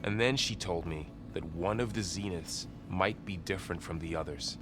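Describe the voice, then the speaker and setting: Supportive young friend, played by a man: low, soft-spoken; game cutscene with score. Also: moderate pace, natural voice. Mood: gentle. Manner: gentle, encouraging, conversational. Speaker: game character. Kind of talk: video game voice acting. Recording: game cutscene with music behind.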